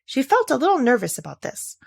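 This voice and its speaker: Female storybook narrator, mid-pitched with lively intonation, touches of vocal fry, expressive scripted read, dry close sound. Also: a little fast, no room sound. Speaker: audiobook narrator.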